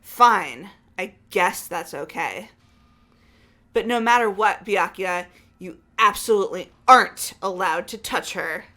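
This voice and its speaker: A woman's voice: mid-range voice, lively intonation, a little slow, bright, forceful tone. A woman voicing a stubborn, angry, grieving and loyal young woman in a fan-voiced visual novel game. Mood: subdued, firm.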